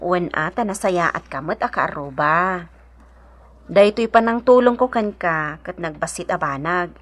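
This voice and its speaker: A woman's voice: fairly low pitch, moderate pace, lightly acted. An actress playing a helpful, kindly woman neighbour in an Ilocano radio drama, earnest and reassuring.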